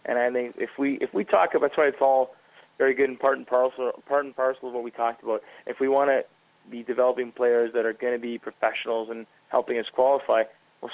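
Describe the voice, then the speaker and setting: A man's voice: mid-range voice, no echo. A man hosting a casual radio chat, rambling through long thoughts with many fillers and restarts. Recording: dry, tinny narrow-band sound.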